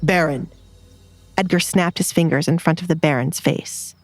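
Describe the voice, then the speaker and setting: A woman narrates a romance audiobook in a fairly low voice. Her scripted storytelling sits over a light bed of music. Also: normal speed. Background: background music.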